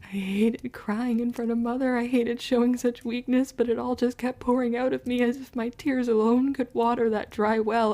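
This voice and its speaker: Young witch girl, guarded, wounded, archaic diction, even pitch, soft-edged tone, audio drama storytelling. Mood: anguished. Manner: archaic, formal period diction. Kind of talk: confessional first-person audio drama narration and dialogue.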